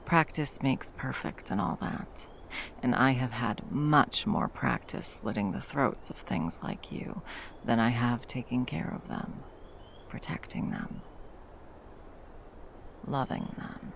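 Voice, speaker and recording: Woman acting a gravelly, battle-hardened monster huntress. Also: rumbling low, slow pace, a little hiss or hum, exaggerated voice. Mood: reflective, rueful. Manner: intimate, sweary, teasing and soothing endearments. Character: battle-hardened female monster hunter, violent yet tenderly protective lover. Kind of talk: ASMR roleplay, intimate scripted monologue addressed to the listener.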